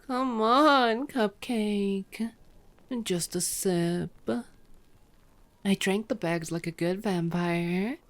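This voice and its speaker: A woman's voice: mid-range voice, very slow. A woman voicing a playful, cocky female vampire in flirty, sardonic ASMR roleplay. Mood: playful pleading. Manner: flirty, sardonic, foul-mouthed banter.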